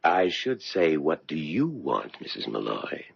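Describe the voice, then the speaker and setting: An actor playing a mysterious, courteous and sinister stranger with a gravelly, husky voice and formal, deliberate delivery in a radio drama. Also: male voice, extremely low pitch, huge pitch swings, exaggerated voice. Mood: smooth, ominous. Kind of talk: 1970s radio drama acting. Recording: dull 1970s radio broadcast recording.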